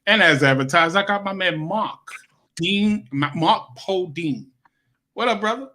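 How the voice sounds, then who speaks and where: A man's voice: high voice, lively intonation, measured pace, bright, forceful tone. A man hosting a radio interview, chatting in a loose, slangy, friendly way. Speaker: radio show host. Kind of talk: casual interview chat.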